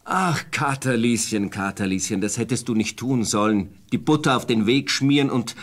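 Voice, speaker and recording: A man acts a country husband in a fairy-tale radio play. His voice is medium in pitch. He sounds reproachful, a plain farmer worn out by a foolish wife. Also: normal speed, natural voice. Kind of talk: German fairy-tale audio play acting.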